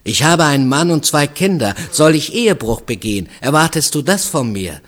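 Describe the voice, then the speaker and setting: A man's voice: lowish voice, lively intonation, normal speed, a bit bright, breathy edge. A man reading a wary, indignant married woman in a story, with a brusque, rebuffing manner. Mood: indignant, heated.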